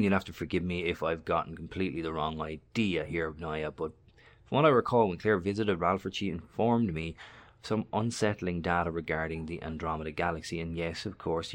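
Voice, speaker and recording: An actor playing a skeptical, learned investigator in a horror audio drama, talking in a wordy, precise and politely formal way. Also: male voice, low-pitched, natural voice.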